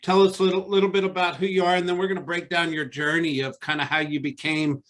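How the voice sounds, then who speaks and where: A man hosts an interview over a video call in an upbeat, conversational way. His voice is a little higher than most men's, and he leads his guest along with easy questions. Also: level tone, fast talker, fairly bright tone. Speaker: interview host.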